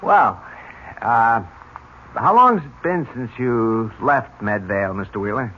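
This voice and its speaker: An actor playing a polite, probing police lieutenant with a deliberate, courteous way of questioning, in old-time radio drama. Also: male voice, mid-range voice, expressive. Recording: dull, noisy old radio recording.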